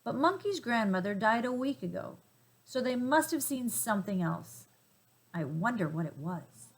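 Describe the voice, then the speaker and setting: A woman's voice: fairly high pitch, very animated, moderate pace, husky, exaggerated voice. Nosy boar guy, lady reading, gossipy and bossy, storybook voice. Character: nosy, suspicious wild boar, male.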